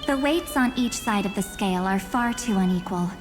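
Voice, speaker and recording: A woman voicing a haughty, world-weary antagonist in a video game, measured and condescending. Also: mid-range voice, music in the background, close to an everyday voice. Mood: dismissive.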